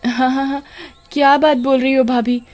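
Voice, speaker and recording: Lady putting on a shrill nasty young woman for a cartoon, scolds and wails high up. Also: moderate pace, a little mellow, soft background music, natural voice. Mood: pleading. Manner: shrieking, wailing and scolding.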